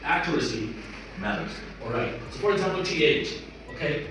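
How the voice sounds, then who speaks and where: Male pronunciation teacher, lively and high-pitched, recorded far from the mic with a noisy class around him. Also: moderate pace, very echoey. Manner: animated, encouraging, drilling sounds by repetition. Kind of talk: interactive classroom lesson. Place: indoor classroom. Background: class of students, room noise.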